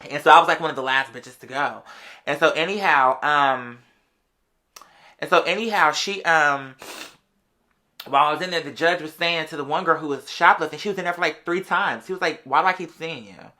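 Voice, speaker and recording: Bright, sassy American voice in the late twenties. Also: medium pitch, a little fast. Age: late 20s. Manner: conversational and sassy, heavy slang, often interjecting "girl". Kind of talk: casual gossipy storytelling.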